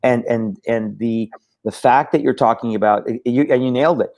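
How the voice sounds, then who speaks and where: A man's voice: mid-range voice, brisk, darkish tone. A man from Iran, a guest on a talk show, speaking earnestly with frequent stammered restarts. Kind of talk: casual talk show conversation.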